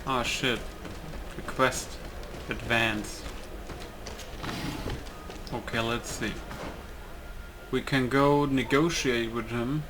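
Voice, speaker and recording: A man's voice: mid-range voice, fairly even intonation, very slow, long pauses, background noise. A man giving casual, offhand commentary on a game playthrough, muttering to himself as he plays. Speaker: gamer.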